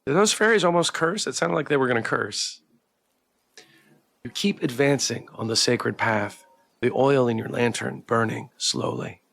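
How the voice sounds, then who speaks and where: A man's voice: medium pitch, normal speed, vocal fry, fairly bright tone. A man hosting a live game stream, reading story text aloud and chatting playfully with viewers. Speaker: livestreamer, game playthrough host. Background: background music.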